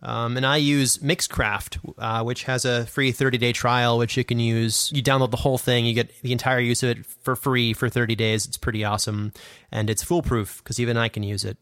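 A man's voice: medium pitch, flat delivery, fairly quick, a bit bright, clear, resonant tone, no room sound. A man hosting a podcast, explaining things in a casual, enthusiastic, self-deprecating way, close on a studio microphone. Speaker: podcast host and audio drama producer. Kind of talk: casual instructional podcast chat. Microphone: condenser studio microphone.

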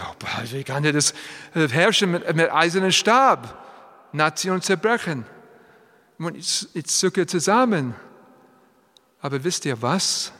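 Man preaching slowly in a big echoey hall, german not his first language, slips into english. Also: a little high, a bit bright. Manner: slow, halting, mixes in English phrases. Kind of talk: sermon. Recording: long hall reverb.

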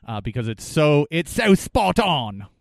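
A man's voice: a little high, big pitch swings, measured pace, vocal fry, no room sound, clean studio sound. A man with a New England accent co-hosting a podcast, chatting casually and rambling with fillers. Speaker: podcast co-host.

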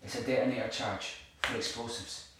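An actor playing an intense eco-militant, gravely and insistently persuasive in an audio drama. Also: male voice, mid-range voice, fairly even intonation, fairly quick, sharp tone, close to an everyday voice. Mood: intense, urgent. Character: intense, forceful eco-militant man, zealous and conspiratorial. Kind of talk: naturalistic audio drama dialogue. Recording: echoey, distant, noisy room recording.

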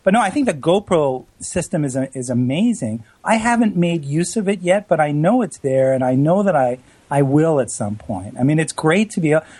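A man's voice: a little high, expressive, normal speed, hardly any pauses, darkish tone, breathy edge, dry recording. A man from Canada with a Canadian accent, a photographer chatting earnestly with many restarts. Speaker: photographer, co-host. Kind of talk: casual chat.

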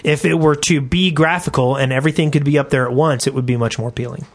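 Man, higher than average pitch, podcast co-host, casual talk, reads listener email. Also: steady tone, fast. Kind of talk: casual chat and reading listener email aloud.

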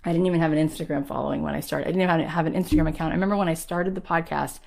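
A woman's voice: sits low, steady tone, very fast, gravelly, darkish tone. A middle-aged woman hosting a podcast, giving an earnest, encouraging motivational talk with emphatic stresses. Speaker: podcast host and coach.

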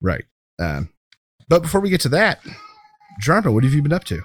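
American man with lively, swinging pitch. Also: fairly quick, husky, dry recording, studio quality. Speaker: podcast host. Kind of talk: casual podcast chat.

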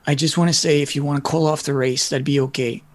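A man's voice: on the high side, steady tone, fast talker, close to an everyday voice. A man playing a timid, polite young boy who stammers.

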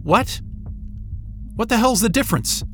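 A man's voice: very high-pitched, animated, a little slow, a little hiss or hum. A man playing an angry, sarcastic, foul-mouthed astrophysicist in a naturalistic sci-fi audio drama, sounding exasperated and incredulous. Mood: outraged, incredulous.

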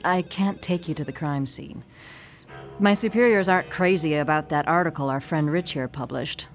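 A wry, brusque police detective in an audio drama. Her voice is low, with faint music behind it. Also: normal speed.

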